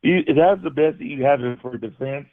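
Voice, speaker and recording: Creaky, combative man on a thin call line. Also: on the high side, brisk, no echo, phone-quality sound. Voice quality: soft voice. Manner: combative, sarcastic, talks over others. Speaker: live show caller. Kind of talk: heated argument on a call-in show. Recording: thin, band-limited call audio.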